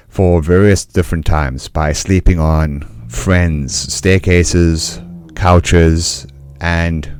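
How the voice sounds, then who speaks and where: A man with a South African accent hosting a podcast, telling his own life story in an earnest, measured way with deliberate pauses. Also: sits low, a little mellow, no echo, studio quality. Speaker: podcast host. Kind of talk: solo personal storytelling monologue.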